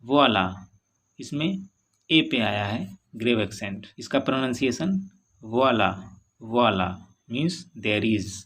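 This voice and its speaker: A man with an Indian English accent teaching French in a bilingual lesson, reading English lines slowly and then explaining them in Hindi. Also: mid-range voice, lively intonation, a bit bright.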